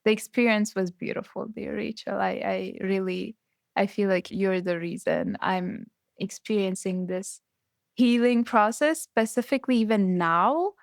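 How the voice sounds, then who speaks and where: Young woman guest, tearful and heartfelt, gravelly edge, dry close sound. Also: mid-range voice, unhurried, no echo. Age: 20s. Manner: heartfelt, emotional. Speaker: guest poet.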